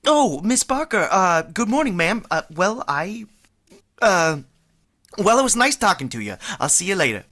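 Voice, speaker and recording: A woman's voice: mid-range voice, sing-song, normal speed, a bit bright. A woman playing a timid, tongue-tied young man in a vintage-style radio play. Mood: flustered. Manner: stammering, timid.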